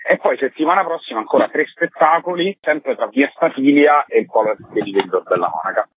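A man's voice: mid-range voice, animated, a little fast, slightly rough. A man, a theatre director being interviewed for radio, explaining his project over a phone call. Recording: muffled narrow phone line.

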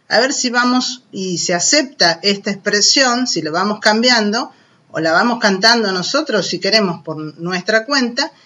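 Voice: medium pitch, bright, forceful tone. A radio host with an Argentine accent, presenting to children in a chatty, explanatory way and addressing the young listeners directly.

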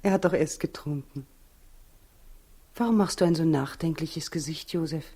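An actress in a 1960s German radio play, playing Mary as a gentle, happy young new mother with a soft, breathy voice. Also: sits low. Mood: happy, tender. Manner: gentle, unhurried.

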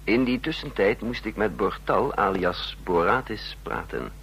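Suave scheming spy in a radio play, lowish voice, hissy old hummy recording. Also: male voice, unhurried, gravelly, fairly bright tone. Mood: calm, calculating. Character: cool, cunning male secret agent, smooth-talking and mercenary. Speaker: lead actor and narrator. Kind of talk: Dutch radio drama acting. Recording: noisy vintage radio recording with hum.

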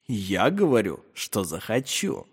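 A reader voicing Shama, a slow, gloating evil male spirit. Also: mid-range voice, big pitch swings, breathy edge, long reverb tail, pitched below the performer's own voice, exaggerated voice. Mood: cold contempt.

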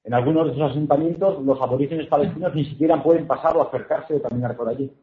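A man's voice: a little high, fast talker. A man from Spain working as a consecutive interpreter, paraphrasing each phrase into Spanish with frequent hesitations. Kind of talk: consecutive interpreting at a book presentation. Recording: echoey hall, tinny phone-like sound.

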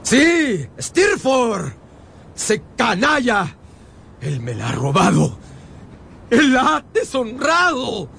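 A woman's voice: on the high side, wildly swooping pitch, slow pace, creaky, long pauses, a bit bright, slight background noise, full character voice. A woman in a radio drama playing an anguished, outraged victim of betrayal, wailing bitter accusations. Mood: anguished fury.

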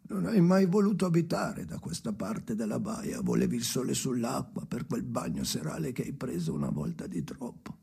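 An actor playing a haunted, restless man in an avant-garde radio drama monologue, theatrical and halting, with a gravelly voice and obsessive delivery. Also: mid-range voice, sing-song, heavily acted. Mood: brooding, confiding. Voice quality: gravelly, creaky. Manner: theatrical, halting, obsessive, swinging from murmurs to shouts. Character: Henry, haunted, restless man talking to his dead father.

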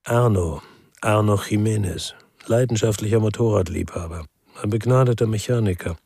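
An actor playing a weary, bitter former policeman who narrates a noir crime radio drama in a measured, laconic way. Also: lowish voice, creaky, dark tone, slightly rough, dry recording, clean studio sound. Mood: somber, reflective.

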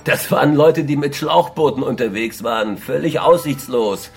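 A man acting in a German radio drama as a captive navy lieutenant, halting and agitated, shaken yet defiant. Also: mid-range voice, gravelly, faint music behind, lightly acted. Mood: resigned, weary confession. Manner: halting, agitated, repeats himself.

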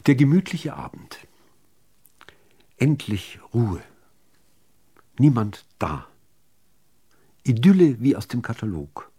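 A man reading literary prose as a radio narrator, in a measured, deliberate delivery with dramatic pauses. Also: mid-range voice, sing-song, dark tone, breathy edge.

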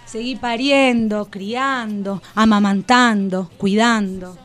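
A woman's voice: medium pitch, fairly even intonation, slow pace, lightly acted. An actress playing a wounded, bitter and defiant enslaved everywoman, reciting emphatically in poetic radio theatre. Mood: anguished, emphatic. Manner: solemn, emphatic poetic listing.

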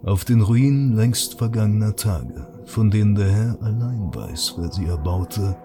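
Man acting slow, solemn, devout cardinal chronicler. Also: fairly low pitch, expressive, music in the background. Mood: solemn condemnation. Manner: solemn, preaching, archaic and measured. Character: devout, zealous male cardinal chronicler condemning heretics. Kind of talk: solemn scripted chronicle narration for audio drama.

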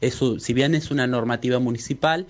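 A man's voice: medium pitch, brisk, slight background noise. A man with an Argentine Rioplatense accent speaking as a street vendors' spokesperson, giving an earnest activist statement in formal wording.

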